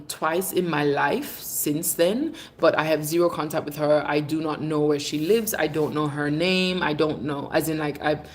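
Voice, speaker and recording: Woman, thirties, lowish voice, British-Jamaican mix accent, chatty livestream host, affectionate. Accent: British English mixed with Jamaican. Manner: chatty, affectionate, calls viewers babes and darling. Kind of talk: casual live chat, reading viewer comments.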